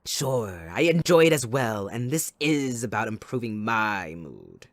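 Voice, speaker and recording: Theatrical, sadistic villain, wildly swinging pitch. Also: male voice, big pitch swings, no room sound. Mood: sly, musing. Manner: theatrical, mocking, drawn-out menacing delivery swinging between soft and loud. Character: sadistic, gleeful male supervillain kidnapper taunting his captive sidekick. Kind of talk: theatrical ASMR audio roleplay.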